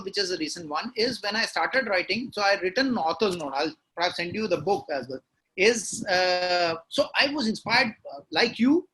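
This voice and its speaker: A man's voice: high voice, pushed and bright, low-quality recording. A man with an Indian accent, a novelist guest in a conversational interview, explaining his work reflectively and slipping into Hindi at times.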